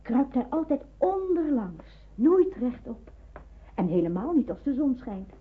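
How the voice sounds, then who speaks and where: An actress in a Dutch radio drama playing a watchful, practical nurse in hiding, explaining and cautioning. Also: female voice, high-pitched, very animated, a little slow, slightly rough, exaggerated voice. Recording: narrow phone-like old radio recording.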